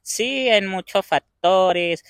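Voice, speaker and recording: Young venezuelan guy w/ andean accent, bright higher voice, dry close sound, talks slow and careful. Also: clean tone, dry recording. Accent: Venezuelan Andean Spanish. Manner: measured, pauses to find words. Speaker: interviewee. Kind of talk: conversational interview answers.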